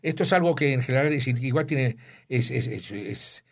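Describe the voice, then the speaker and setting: An older man from Uruguay, an author speaking thoughtfully in an interview about his book and often pausing to search for words. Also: mid-range voice, animated, creaky. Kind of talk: reflective interview talk.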